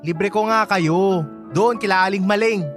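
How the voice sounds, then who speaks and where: A man's voice: sits high, a little slow, music in the background. A man playing a campy, cheeky young Filipino friend, flamboyant and catty, in radio drama dialogue with a Filipino accent. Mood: breezy. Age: young adult.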